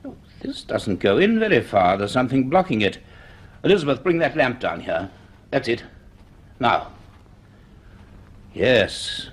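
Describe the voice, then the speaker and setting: An actor playing a commanding, intense scientist in a radio drama, speaking in urgent, halting orders. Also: male voice, medium pitch, very animated, slightly rough. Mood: puzzled, urgent. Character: commanding, intense university scientist leading an investigation.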